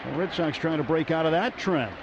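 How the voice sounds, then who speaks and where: High-voiced American man calling baseball on radio. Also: fairly quick. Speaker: baseball play-by-play announcer. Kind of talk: live radio sports commentary. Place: stadium broadcast booth. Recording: dull radio broadcast, loud stadium crowd, noisy. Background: loud crowd and stadium noise.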